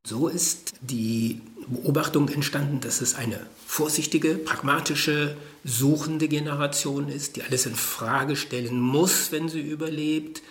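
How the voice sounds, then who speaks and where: A male professor and education researcher explaining his ideas in a thoughtful, measured interview. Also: fairly high pitch, steady tone. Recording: roomy echo.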